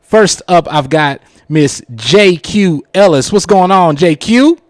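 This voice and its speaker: A man's voice: high voice, lively intonation, slow, a little hiss or hum. A man hosting a casual live radio show, with showy introductions, on a close studio mic. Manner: showy introductions with repeated catchphrases.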